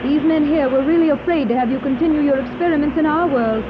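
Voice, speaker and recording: A woman acts a gracious and motherly lady adventurer. Her voice is high, with careful theatrical enunciation typical of 1930s radio drama. The recording is slightly muffled and faintly noisy. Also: quick pace, natural voice. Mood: curious. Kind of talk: 1930s radio serial acting.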